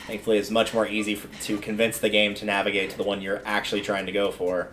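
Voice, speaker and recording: A man's voice: fairly low pitch, monotone, fast talker, fairly bright tone, slight background noise. A man livestreaming a video game, giving casual commentary and thinking aloud in a conversational way as he plays. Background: video game music.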